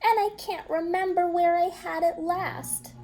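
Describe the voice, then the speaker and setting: A woman voicing a gentle, worried male owl in a storybook, speaking softly and hesitantly. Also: extremely high pitch, steady tone, a little slow, voice pushed up. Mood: puzzled.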